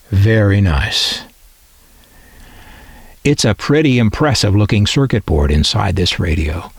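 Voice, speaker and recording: A man's voice: on the low side, husky, dry recording. An American man from the Midwest narrating a scripted piece as a collector and presenter, with a wry, opinionated way of talking. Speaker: vintage radio collector and presenter.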